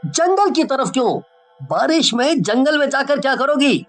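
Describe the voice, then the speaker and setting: A woman's voice: sits high, animated, moderate pace, soft background music. A woman voicing a young male college student in a horror story, inquisitive and earnest. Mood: curious.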